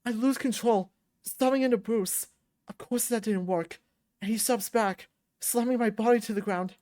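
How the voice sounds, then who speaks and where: A woman's voice: fairly high pitch, normal speed, warm tone. A woman reading a visual novel aloud as dramatic scripted narration. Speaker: visual novel reader and voice performer.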